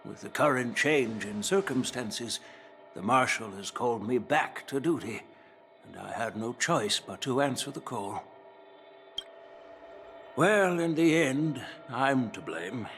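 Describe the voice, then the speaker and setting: A man's voice: mid-range voice, a bit bright. A man playing a humble, easygoing old general and master smith in an anime game. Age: older. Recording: music behind dialogue.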